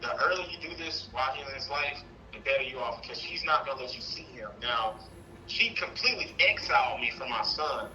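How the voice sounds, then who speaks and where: Very bright-voiced American man calling in. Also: medium pitch, animated, brisk, lo-fi sound, slight background noise. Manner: rambling, frequent "you know". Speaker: caller, father seeking custody. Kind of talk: casual personal storytelling.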